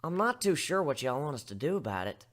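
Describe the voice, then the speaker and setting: A woman's voice: low voice, sing-song, fast. A woman voicing a folksy, straight-talking female farmer pony with country turns of phrase in a story reading. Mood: puzzled, plain. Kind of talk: fan fiction dramatic reading.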